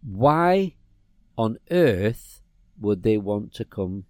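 A man's voice: lowish voice, big pitch swings, extremely slow, a little mellow, dry recording, studio quality. A middle-aged man from England, co-hosting a podcast and telling a rambling story with many restarts. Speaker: podcast co-host. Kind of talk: casual chat.

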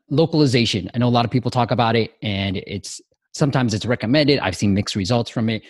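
A man hosting a podcast interview, asking questions in a casual, upbeat way. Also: on the low side. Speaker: podcast host.

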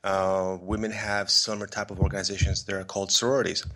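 Deep-voiced man, rambling interview talk. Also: little pitch movement, fast talker, bright, forceful tone. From Poland. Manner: rambling, with many fillers and restarts. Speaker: podcast guest, filmmaker and photographer. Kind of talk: relaxed conversational interview.

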